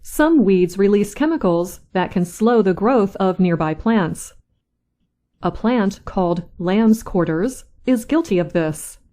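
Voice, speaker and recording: Slow-reading woman news reader. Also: mid-range voice, lively intonation, warm tone. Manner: slow, careful, clear delivery. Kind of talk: slow scripted reading for learners.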